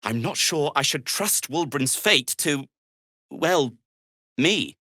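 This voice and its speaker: An actor playing an earnest, self-deprecating gnome adventurer in a video game, hesitant and wry, with formal, wordy phrasing. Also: male voice, fairly high pitch, animated, slow pace, bright, forceful tone, no echo, studio quality, heavily acted. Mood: worried, determined. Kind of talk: video game character dialogue.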